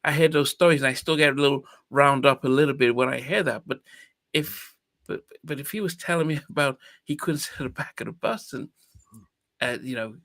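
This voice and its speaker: A man's voice: medium pitch, a little fast, fairly bright tone, dry recording. A man in his fifties, from Nigeria, hosting a podcast in a reflective, hesitant way with many restarts. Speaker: podcast host. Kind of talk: casual interview chat.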